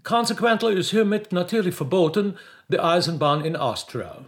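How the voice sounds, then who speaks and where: A man playing a pompous British envoy with an English accent, groping haltingly for German words in a radio play. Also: high-pitched, animated. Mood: halting, formal.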